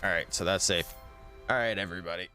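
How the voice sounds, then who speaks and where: A man's voice: sits low, fast, pushed and bright, faint music behind. A man streaming a video game and chatting casually with viewers while losing his voice. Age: adult. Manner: chatty, reads chat aloud.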